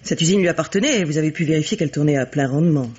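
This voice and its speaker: An actress playing a cynical, haughty bourgeois woman in a French radio mystery, speaking in a measured, articulate, coolly disdainful way. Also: deep voice, darkish tone, husky. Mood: cool, firm. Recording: dull vintage radio recording.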